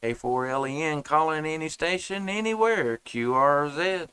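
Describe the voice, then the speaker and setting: A laid-back man chats on an amateur radio live stream. His voice is smooth and clear with a bright edge. The sound is close and dry. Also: fairly high pitch, normal speed, dry recording. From United States. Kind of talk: casual amateur radio chat. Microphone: headset and boom microphones.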